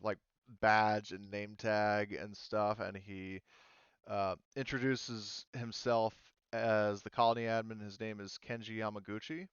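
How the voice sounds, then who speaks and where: A man casually narrating a tabletop roleplaying game as game master, speaking haltingly as he searches for words. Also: fairly low pitch, clean tone, no echo, dull audio.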